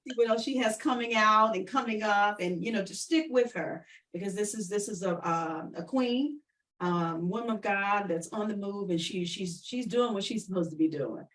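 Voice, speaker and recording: A woman's voice: medium pitch, normal speed, a bit bright, distant mic, low-quality recording. A woman hosting an online radio show, chatting with guests and listeners in an encouraging, affirming way and often repeating phrases. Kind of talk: warm-up chat interview, addressing listeners directly.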